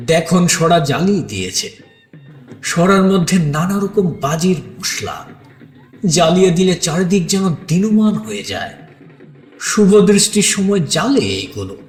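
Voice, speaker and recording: A man playing a shy, doubt-haunted, tender bridegroom who narrates an audio story slowly and emotively, with dramatic pauses. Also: high voice, animated. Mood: awed, nervous. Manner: slow, hushed, emotive delivery with dramatic pauses. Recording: echoey studio reverb under clear music.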